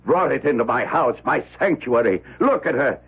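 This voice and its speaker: An actor playing a rich, domineering old man who barks orders and insults in a gruff radio drama style. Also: medium pitch, lively intonation, moderate pace, narrow, tinny audio, exaggerated voice. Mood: furious, commanding. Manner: barking orders, insulting, imperious. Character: rich, gray-haired, domineering old miser, quick to rage. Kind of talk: 1970s radio drama acting.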